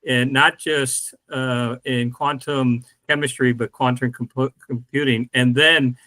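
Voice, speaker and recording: Bright-voiced older male co-host. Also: mid-range voice, a little slow, dry recording. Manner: animated, joking, gesturing. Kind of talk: casual chat. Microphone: video call.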